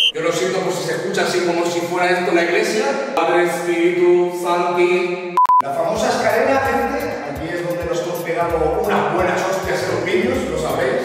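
A man in his twenties with a Spain Spanish accent talks casually to camera as he shows a house. His voice sits high, heard from a distance with heavy, long reverb off bare walls. Music plays clearly behind him. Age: 20s. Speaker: vlogger. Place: empty rooms of a house. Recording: echoey empty rooms, distant mic, music behind.

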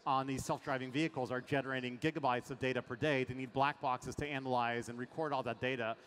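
A man's voice: medium pitch, steady tone, quick pace, fairly bright tone. A middle-aged man from France, a venture investor, talking energetically with many fillers in a fireside chat on a large conference stage, through a headset mic. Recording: long hall reverb, faint ambience.

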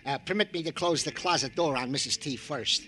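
An actor playing a chatty, jokey, doting police sergeant in an old radio drama, with a wordy, mock-formal manner. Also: male voice, a little high, brisk, lightly acted. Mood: reporting, wry. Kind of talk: 1940s-50s radio acting. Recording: noisy vintage radio recording.

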